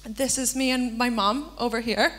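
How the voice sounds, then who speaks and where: A woman's voice: on the high side, moderate pace, a bit bright. A young woman from Argentina giving a workshop talk on a small stage into a handheld mic, earnest and peppered with "um" fillers. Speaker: workshop presenter. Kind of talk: conference talk. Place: small stage in a darkened hall with a projection screen.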